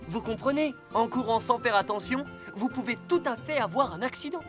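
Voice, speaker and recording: A woman's voice: a little high, a little fast, over music, close to an everyday voice. A woman voicing an earnest, caring rescue-car hero who gives safety lessons in a dubbed children's cartoon. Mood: earnest, instructive. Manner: clear, instructive, earnest.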